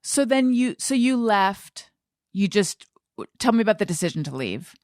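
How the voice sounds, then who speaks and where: A middle-aged woman hosts a casual interview in a dry, close-sounding space. Her pitch moves around a lot as she chats. She is self-deprecating and tends to think out loud while forming her questions. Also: big pitch swings, moderate pace, dry recording. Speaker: podcast host and interviewer.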